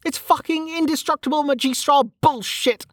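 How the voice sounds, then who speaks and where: Woman acting a bitter, high-pitched, hot-tempered woman. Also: normal speed, no room sound, clean studio sound, lightly acted. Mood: bitter, exasperated. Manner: snappish, scornful.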